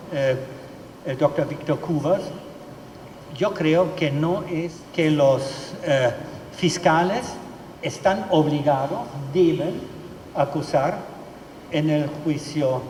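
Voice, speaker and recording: A man gives a formal talk on law in a large hall. He speaks slowly in a fairly high voice, pausing often on fillers and restarting phrases. The sound is roomy, with a long echo and faint room noise behind it. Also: a little mellow. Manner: halting, many fillers and restarts. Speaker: legal expert speaker.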